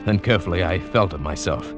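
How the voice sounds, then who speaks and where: An actor narrating as a climber who survived a great fall, telling it dramatically in first person in an old radio drama, with music behind him. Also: male voice, sits low, fast talker, muffled recording, close to an everyday voice. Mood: tense. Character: adult male climber recounting his fall. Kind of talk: 1940s radio acting.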